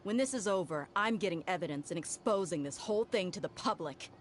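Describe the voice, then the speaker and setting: Low-pitched woman reading game dialogue. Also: expressive, fairly quick, slight background noise. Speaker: game streamer. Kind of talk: reading game dialogue aloud during a livestream.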